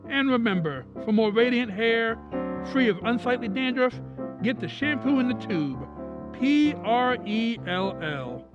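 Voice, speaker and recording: A man's voice: very high-pitched, animated, a little slow, highs cut off. A man announcing in the style of a 1940s radio show, reading a sponsor's advertisement. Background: music.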